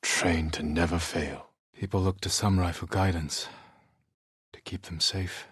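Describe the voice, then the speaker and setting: A man playing a solemn, stoic samurai warrior in a video game, speaking in hushed, measured, poetic phrases with a breathy voice. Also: steady tone, no room sound, clean studio sound. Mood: earnest, grave. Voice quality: low, breathy, husky. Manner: hushed, contemplative, deliberate phrasing. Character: solemn, reflective male samurai warrior, stoic and honor-bound.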